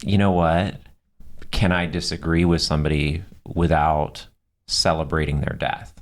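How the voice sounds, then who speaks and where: A man's voice: rumbling low, little pitch movement, unhurried. A man co-hosting a podcast, talking conversationally and steering the discussion with firm opinions. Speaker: podcast co-host.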